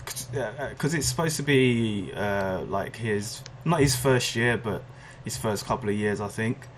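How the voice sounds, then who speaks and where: A man's voice: on the low side, measured pace, slight background noise. A man co-hosting a podcast, chatting casually in a hesitant, agreeable way. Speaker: podcast co-host. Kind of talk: casual chat about comic book movies.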